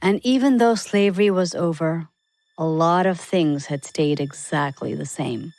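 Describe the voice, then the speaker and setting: Woman storyteller, lower-pitched, over music. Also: a little slow, a little mellow. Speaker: storyteller narrating a children's biography podcast. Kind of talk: scripted narration, storytelling.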